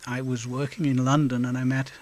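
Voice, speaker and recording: A middle-aged man from South Wales, answering interview questions in a halting way with frequent hesitations and an occasional chuckle. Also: mid-range voice, flat delivery, a little mellow, no echo. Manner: halting, lots of hesitations, chuckles now and then. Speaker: guest, volunteer officer.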